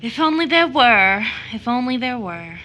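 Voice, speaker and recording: A motherly woman as an actress plays her in an audio drama, tender and wistful. Her voice is rather high and very bright. She soothes and speaks affectionately, like a bedtime storyteller. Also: big pitch swings, a little slow, exaggerated voice, low-quality recording. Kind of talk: stage drama audio acting.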